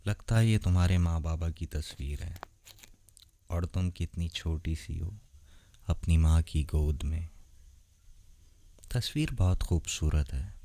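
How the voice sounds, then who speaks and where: Man playing a deep-voiced, tender vampire boyfriend. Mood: wistful, gentle. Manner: soft, intimate, gentle, unhurried. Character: tender, lonely centuries-old vampire boyfriend, male. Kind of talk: romantic ASMR roleplay, addressed to the listener.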